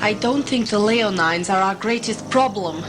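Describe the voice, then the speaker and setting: A woman's voice: medium pitch, moderate pace, over loud music, close to an everyday voice. A woman playing a level-headed, peaceable explorer in a sci-fi audio drama, speaking formally and with measure. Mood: calm, doubtful.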